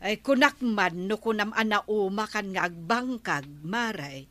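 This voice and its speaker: A woman's voice: mid-range voice, lively intonation, measured pace, a bit bright, no echo. A woman with an Ilocano accent playing a bargaining village woman in a radio comedy drama. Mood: coaxing. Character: bargaining village woman hiring farm help.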